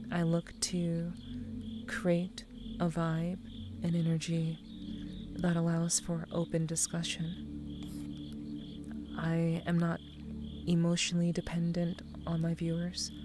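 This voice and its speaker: A young woman streamer talking in a soft, soothing half-whisper, her voice a little scratchy after crying, with music behind her. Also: fairly low pitch, completely flat delivery, unhurried, long pauses. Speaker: VTuber streamer. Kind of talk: ASMR stream chat.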